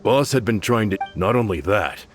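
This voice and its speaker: A man's voice: on the low side, animated, normal speed, lightly acted. A man playing an emotional, vengeful mercenary in a video game, dramatic and bitter. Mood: grave. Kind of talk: English video game voice acting. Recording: game music behind the voice. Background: game soundtrack music.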